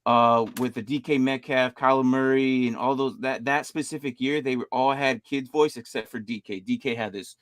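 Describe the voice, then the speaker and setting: A man's voice: mid-range voice, steady tone, moderate pace, hardly any pauses, no room sound, studio quality. A man hosting a fantasy football podcast, chatting casually and reading things aloud with a chuckle. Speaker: podcast host. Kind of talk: casual chat.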